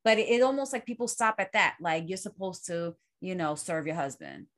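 A low-pitched woman hosts a relaxed conversation. She is middle-aged and thinks out loud, circling her points with plenty of "like" and "you know." Her delivery is reflective. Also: fairly quick. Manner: reflective, talks through ideas with fillers. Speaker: podcast host. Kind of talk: casual conversation.

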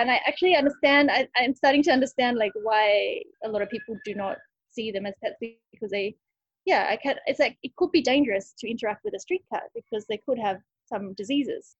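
Husky-voiced woman chatting casually. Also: on the high side, no room sound, highs cut off. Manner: chatty, thinks aloud with many likes and restarts. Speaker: rescue cat cafe owner. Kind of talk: casual interview answers.

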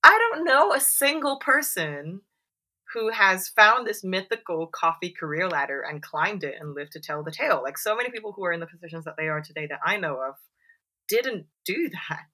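Low-pitched, bright-toned chatty woman. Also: expressive, moderate pace. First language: English. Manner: chatty, many fillers and "like"s; acts out quoted voices. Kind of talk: casual chat.

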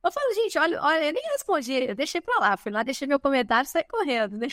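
A Brazilian woman in her thirties, a guest chatting casually on a podcast, lively and talkative, often tagging her sentences with a questioning "né?". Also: high-pitched, fast, no room sound, studio quality. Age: 30s. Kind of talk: casual chat.

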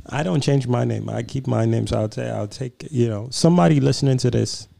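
Man, podcast co-host, dark gravelly voice, dry teasing banter. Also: mid-range voice, a little fast, dry recording. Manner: teasing, dry, short interjections. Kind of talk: casual chat.

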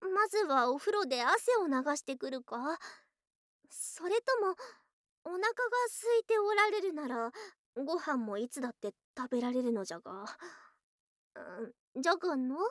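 An actress playing a proud, excitable, affectionate young female lamia wife in a voice drama, with archaic, haughty speech that easily gets flustered. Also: sits high, dry recording, clean studio sound. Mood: eager, shyly flustered. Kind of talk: Japanese situational ASMR voice drama.

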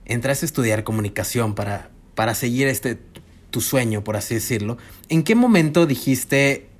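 A man's voice: mid-range voice, lively intonation, normal speed. A man hosting a casual podcast, steering the guest with friendly prompting questions. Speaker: podcast host.

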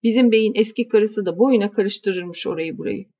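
A woman puts on a gruff village housekeeper in a storybook, curt and grumbling, always ready with a warning. The voice sits a little louder than her plain reading. The sound is slightly muffled. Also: mid-range voice, moderate pace, natural voice. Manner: curt, scolding.

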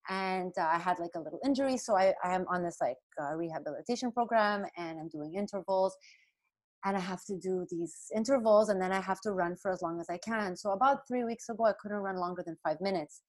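A woman's voice: fairly low pitch, fairly quick. A woman in her thirties, a podcast guest in casual chat, upbeat and storytelling.

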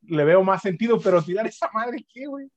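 A man's voice: sits high, lively intonation, fairly quick, highs cut off. A man with a Mexican accent, co-hosting a podcast in slangy casual chat with casual swearing. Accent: Mexican Spanish. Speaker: podcast co-host.

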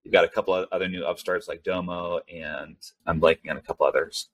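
A man's voice: low voice, steady tone, fast, no echo. A man who grew up in Venezuela and California, first language Spanish, talking as a guest in a conversational interview, rambling with many fillers and restarts. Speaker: guest, startup CEO.

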